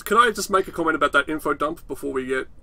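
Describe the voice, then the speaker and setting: An Australian man co-hosting a casual podcast, teasing and sardonic, quick to laugh. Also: fairly high pitch, vocal fry, bright, forceful tone. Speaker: podcast co-host. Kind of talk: casual chat.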